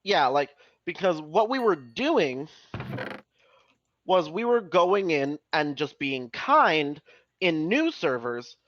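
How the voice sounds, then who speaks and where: A young man in his twenties chats while gaming, his pitch swinging up and down with lots of movement. He restarts sentences and fills his talk with "like", and the stream audio sounds dull and lo-fi. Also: big pitch swings, slow pace, a bit bright, no echo. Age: 20s. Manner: chatty, restarts sentences, many fillers. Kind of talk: casual chat while playing.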